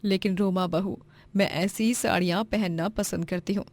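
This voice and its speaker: A woman voicing a patient old widowed mother-in-law in a cartoon, speaking calmly and mildly. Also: mid-range voice, normal speed, close to an everyday voice. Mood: gentle. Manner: calm, mild.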